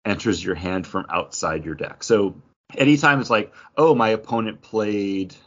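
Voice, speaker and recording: A man's voice: on the low side, normal speed, fairly bright tone, highs cut off. A man co-hosting a casual gaming chat, explaining things in detail with plenty of slang. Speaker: podcast co-host.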